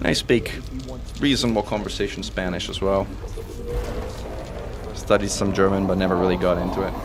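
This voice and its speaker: A man from Italy whose first language is Italian, giving excitable live commentary as he plays, talking through each move. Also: sits low, normal speed, music in the background. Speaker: streamer and commentator.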